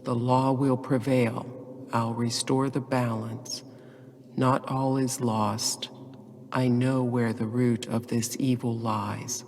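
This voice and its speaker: A proud, dogmatic emissary in a solemn video game monologue. He is cold and measured, with a flat, husky delivery. His words carry a long hall reverb. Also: mid-range voice. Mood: cold certainty.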